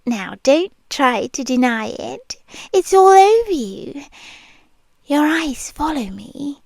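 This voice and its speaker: A British woman playing an obsessive, possessive young nurse in an ASMR roleplay, whispering softly and teasing in a sweetly controlling way close to the mic. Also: sits high, sing-song, slow, no room sound, exaggerated voice. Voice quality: soft, breathy whispering. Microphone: close mic, speaking into the ear.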